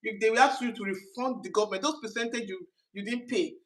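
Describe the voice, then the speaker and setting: A woman's voice: on the high side, quick pace. Brief: middle-aged woman host, easygoing, explains at length. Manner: relaxed, laughs easily, explains at length. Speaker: host asking questions. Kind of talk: casual conversational Q&A.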